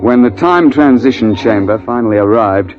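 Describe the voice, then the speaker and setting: A man's voice: mid-range voice, moderate pace, natural voice. Guy acting as british big game hunter from 50s radio drama, commanding and clipped, muffled old recording, music under it. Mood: dry, composed. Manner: clipped, gentlemanly slang. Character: British big-game hunting guide, commanding, gruff, recounting a disaster.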